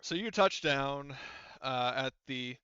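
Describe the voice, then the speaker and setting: A man acting as game master, talking players through the game in a loose, rambling way. His voice is bright and smooth, recorded dry and a little dull. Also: mid-range voice, slow, dry recording. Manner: rambling, conversational explaining. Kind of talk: casual narrating of a tabletop game.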